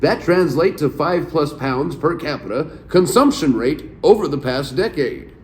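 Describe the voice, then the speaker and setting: A man's voice: fairly high pitch, expressive, a little slow, reverberant, a little hiss or hum. A man in his late thirties, co-hosting a casual podcast chat, laughing often and reading search results aloud. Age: late 30s. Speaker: podcast co-host.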